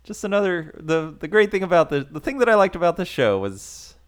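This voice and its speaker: A man's voice: fairly high pitch, very animated, a little fast. A man co-hosting a casual podcast, recapping a show with wry, sarcastic asides. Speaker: podcast co-host.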